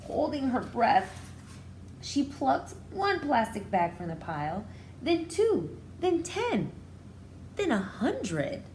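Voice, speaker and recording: Expressive woman reading to young children, lively pitch. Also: takes it slowly, a little hiss or hum. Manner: expressive, simplifies and repeats for young listeners. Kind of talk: children's storybook read-aloud with explanatory asides.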